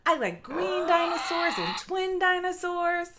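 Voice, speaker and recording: A woman's voice: very high-pitched, sing-song, unhurried, background noise. A woman reading aloud for young children in a playful, encouraging way, with short simple phrases and quiz-like prompts. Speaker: children's read-aloud narrator.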